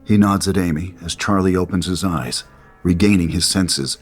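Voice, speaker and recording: Casting: male narrator for stage directions, deep register, dramatic storytelling over a clear musical score. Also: fairly even intonation, moderate pace, faint music behind.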